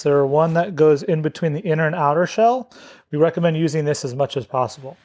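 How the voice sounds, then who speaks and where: Man giving step-by-step instructions, slightly higher voice. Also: a little mellow. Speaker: product demonstrator.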